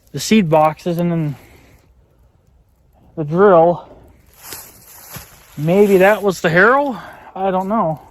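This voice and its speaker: High-voiced American man musing outdoors. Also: darkish tone. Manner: folksy, musing aloud, mild swearing. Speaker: vlogger. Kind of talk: casual rambling outdoor vlog narration. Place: outdoors in rural woods. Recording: faint outdoor background noise. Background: faint outdoor noise.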